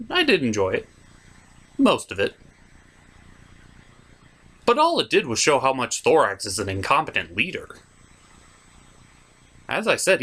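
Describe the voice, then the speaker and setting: A man's voice: medium pitch, sharp tone. A man giving a comedic recap as a cartoon reviewer, sarcastic and mocking in manner.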